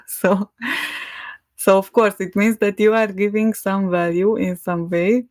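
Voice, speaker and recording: A woman's voice: mid-range voice, steady tone, slow pace, darkish tone, no echo. A young woman with a Hungarian accent, a podcast guest talking in a rambling, hesitant way and laughing often between thoughts. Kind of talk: casual conversation.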